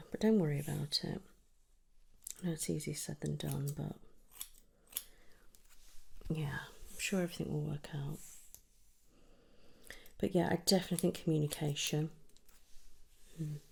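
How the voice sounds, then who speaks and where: A British woman doing a gentle, chatty ASMR hairdresser roleplay, speaking softly and reassuringly close to the mic, often slipping into a breathy whisper. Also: low-pitched. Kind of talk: ASMR roleplay, one-sided casual chat. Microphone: close ASMR microphone.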